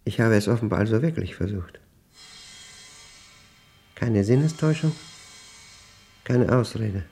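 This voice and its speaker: Unravelling professor, radio drama monologue. Creaky voice, lively intonation, theatrical and emphatic diction. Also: male voice, on the low side, faint music behind. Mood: defiant, disbelieving. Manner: theatrical, emphatic, deliberate diction in short clipped phrases. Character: obsessive, unravelling male professor dictating his diary. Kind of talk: German radio play monologue, dictated diary.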